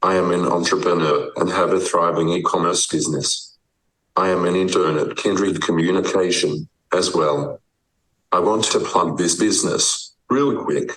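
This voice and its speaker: An eighteen-year-old man speaking through text-to-speech software with an Australian accent, in a measured, proclaiming synthetic delivery. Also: sits low, fairly even intonation, echoey room, distant mic, rough recording. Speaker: guest, advocate. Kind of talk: typed text read aloud by speech software.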